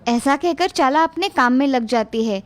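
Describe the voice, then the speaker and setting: A woman's voice: high-pitched, animated, normal speed. A woman narrating a scripted children's fairy tale as storyteller.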